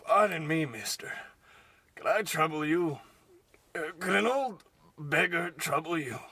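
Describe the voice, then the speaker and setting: A man's voice: a little high, animated, bright, forceful tone, exaggerated voice. A man playing a humble, pleading old blind beggar and veteran in a parody radio play. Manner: halting, wheedling, humbly grateful. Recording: clearly noisy, clicky recording.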